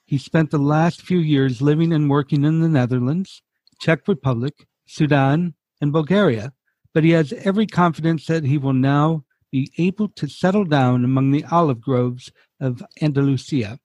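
A man's voice: fairly high pitch, normal speed, a little mellow, no room sound. A man hosting a podcast, reading introductions and asking friendly, upbeat questions. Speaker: podcast host. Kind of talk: interview hosting, scripted reads.

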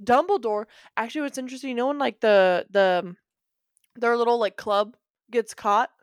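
A woman co-hosting a podcast, chatting casually with many fillers and frequent laughs, in a slightly deep voice. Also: moderate pace, smooth, dry recording, clean studio sound. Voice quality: rambling, filler-heavy, laughs often; deeper voice. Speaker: podcast co-host. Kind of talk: casual chat.

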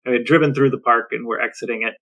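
Male podcast host, casual storyteller, mid-pitched voice with plenty of vocal fry. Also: steady tone, fast talker, fairly bright tone, lo-fi sound.

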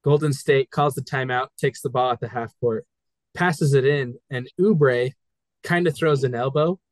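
A man's voice: mid-range voice, moderate pace, no echo. Man with a dry close-mic sound, telling a play-by-play. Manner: eager play-by-play storytelling. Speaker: guest co-host. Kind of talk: casual sports podcast chat.

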